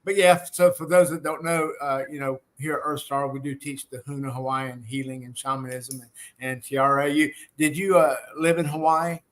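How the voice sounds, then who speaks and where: A man's voice: a little high, level tone, normal speed, few pauses. A man with a Southern US accent hosting an interview, encouraging and affirming, often saying "wonderful" and "yes.". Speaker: host. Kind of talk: casual interview chat.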